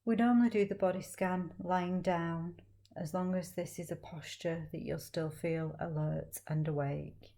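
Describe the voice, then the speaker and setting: A woman leading a guided meditation as a teacher, speaking slowly and gently with long, soothing pauses. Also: on the low side, fairly even intonation.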